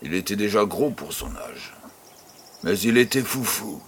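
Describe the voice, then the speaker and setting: An actor playing an affectionate, teasing old farmer grandfather who tells tales in an unhurried way in an audio drama. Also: on the low side, very animated, a bit bright, a little hiss or hum. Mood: storytelling. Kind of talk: French-language audio drama acting.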